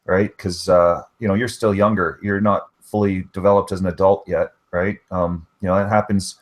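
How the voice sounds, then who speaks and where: A man's voice: deep voice, level tone, moderate pace. Rambling man full of "you know". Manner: rambling, filler-heavy, lots of "you know" and "right". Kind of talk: casual group chat. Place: online voice hangout.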